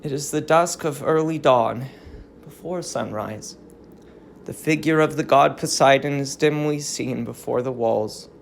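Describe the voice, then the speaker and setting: A measured audiobook narrator with a noticeably creaky voice. The reading is unhurried and deliberate, setting out a classical drama like formal stage directions. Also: mid-range voice, a little hiss or hum. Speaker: narrator and reader of a play.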